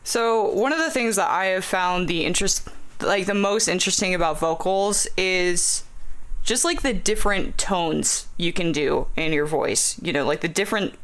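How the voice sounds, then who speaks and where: A man's voice: sits high, moderate pace, a bit bright, clean tone. Male podcast guest, rambling casual chat, lots of filler, laughs often. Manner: rambling, full of "like", frequent laughs. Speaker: podcast guest, music fan.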